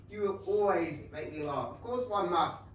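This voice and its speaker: An actor playing a boyishly enthusiastic, rich young Regency gentleman with an English accent in a staged comedy reading. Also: high-pitched. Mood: cheerful, affectionate. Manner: eager, gushing. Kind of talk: staged reading of a comic play. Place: small theatre stage. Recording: roomy theatre, distant mic, faint noise.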